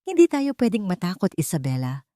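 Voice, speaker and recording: A woman's voice: fairly low pitch, huge pitch swings, brisk, warm tone, no echo, clean studio sound. A woman voicing a resolute, protective wealthy wife in an audiobook, anxious but firm, with urgent emotion. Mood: angry, defiant. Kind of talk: audiobook character dialogue.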